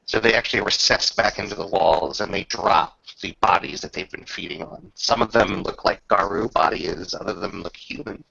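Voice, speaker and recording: A man's voice: low-pitched, moderate pace, creaky, hardly any pauses, sharp tone, no room sound, highs cut off. A man improvising descriptive narration as game master of a tabletop roleplaying session.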